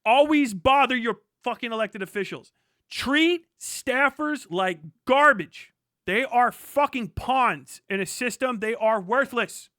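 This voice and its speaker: A man's voice: extremely high pitch, slow, pushed and bright, no echo, studio quality. A man livestreaming casual, opinionated commentary on local news, speaking in a blunt, profane and emphatic manner.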